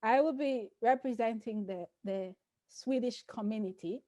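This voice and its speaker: A fairly high-voiced woman leads a casual group chat, teasing the others and laughing often as she moves things along. The recording is dry and close, with hardly any room sound. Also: a little slow, dark tone, no room sound. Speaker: host.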